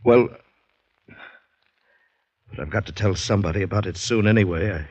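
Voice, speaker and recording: An actor playing an anxious, hesitant young Canadian army convalescent in an old radio drama. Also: male voice, on the low side, moderate pace, darkish tone, breathy edge, dry recording. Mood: troubled, confiding. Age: young adult. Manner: hesitant, agitated. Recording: dull, dry old radio recording.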